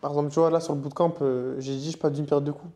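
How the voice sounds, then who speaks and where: Young man, quick talker, mellow tone, slangy, casual guest. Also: on the high side, level tone. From Morocco, raised in Canada and Switzerland. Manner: fast, slangy, conversational. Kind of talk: casual interview chat.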